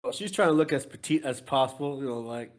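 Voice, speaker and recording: Mid-pitched man playing a tabletop game. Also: quick pace. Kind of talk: casual tabletop role-play chat.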